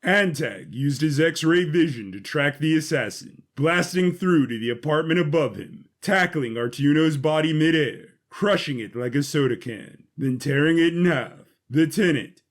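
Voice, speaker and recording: Higher-pitched male sci-fi narrator. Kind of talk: scripted sci-fi story narration.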